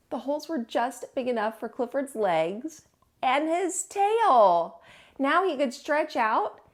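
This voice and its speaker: A woman hosting storytime, reading aloud to young children with an expressive, teacherly delivery. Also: fairly high pitch.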